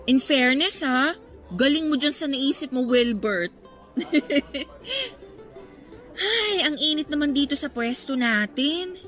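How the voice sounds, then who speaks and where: Good-natured student girl, high pitch, bright tone, Filipino accent, playful scolding, radio drama, background music. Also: animated, slow pace, pauses often, full character voice. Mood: amused. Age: young adult. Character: good-natured, forgiving young female college student. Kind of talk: Tagalog radio drama acting.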